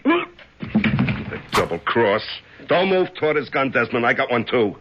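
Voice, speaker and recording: A man's voice: mid-range voice, very animated, a little slow. An actor playing Vic, a cool and threatening male crime boss in a 1970s radio drama. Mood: menacing. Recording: muffled old radio broadcast.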